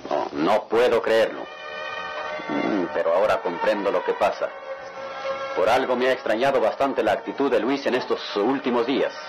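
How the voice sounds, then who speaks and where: A man's voice: lowish voice, lightly acted, poor audio. An actor playing a stern, hot-tempered father in a melodramatic radio drama, commanding and declamatory.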